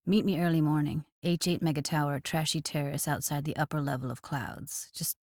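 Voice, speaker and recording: A woman's voice: low-pitched, steady tone, fairly quick, darkish tone, no echo, clean studio sound. An actress playing a young, streetwise female techie in a video game, blunt and guarded but emotional, speaking in casual, clipped, intimate slang. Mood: dry, casual. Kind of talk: naturalistic video game dialogue acting.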